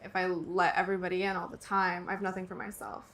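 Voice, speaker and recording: A woman's voice: on the low side, little pitch movement, fast, a bit bright, slight background noise. Composed young woman in interview. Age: 20s. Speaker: actress being interviewed. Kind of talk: magazine interview.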